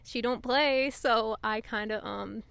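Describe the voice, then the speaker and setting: Young female podcast host, casual and rambling, higher pitch, dry sound. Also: steady tone, slow pace, gravelly, a bit bright, clean tone, dry recording. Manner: loose and chatty, heavy on fillers. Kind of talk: casual chat. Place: outdoors on a couch.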